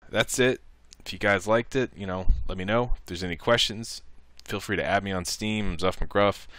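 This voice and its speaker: A man's voice: on the low side, normal speed, a bit bright. A man presenting a modding tutorial as a casual screen-recorded walkthrough, explaining each step in an informal, offhand way.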